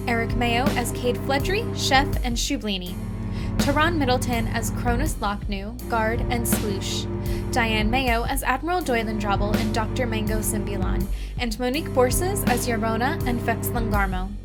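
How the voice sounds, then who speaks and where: High-voiced woman reading credits over music. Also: moderate pace. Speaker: credits announcer. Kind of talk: scripted end-credits read. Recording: loud theme music behind. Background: loud music.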